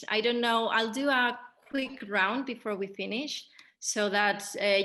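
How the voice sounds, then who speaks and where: A woman hosting an unscripted panel discussion, speaking in a hesitant, halting way with frequent pauses. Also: mid-range voice, pushed and bright. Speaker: host of a post-show panel, theatre director.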